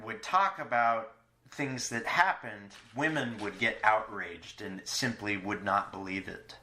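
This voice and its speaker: A man hosting a podcast, speaking haltingly and hesitantly in a personal, philosophical conversation. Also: on the low side, pushed and bright. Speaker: podcast host, interviewer. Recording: echoey room sound.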